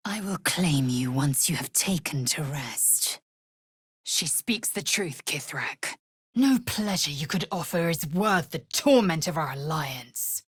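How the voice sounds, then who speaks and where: Video game role: proud, scornful female alien warrior. Low, raspy voice, curt commands, measured delivery. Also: dry recording, studio quality, exaggerated voice. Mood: grave, resolute. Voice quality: husky, raspy. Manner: imperious, clipped, deliberate. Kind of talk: video game character voice lines.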